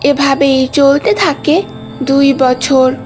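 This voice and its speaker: Casting: woman narrator for cartoon tales, high voice, slow delivery, light music bed. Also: a little mellow. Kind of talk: scripted cartoon story narration.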